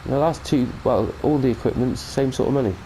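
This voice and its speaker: A man's voice: mid-range voice, fast, mellow, slight background noise, low-quality recording. A British man with a cold, giving a chatty, rambling mod review of a farming game and correcting himself as he goes. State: has a cold. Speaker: gaming channel host. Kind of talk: casual mod review commentary.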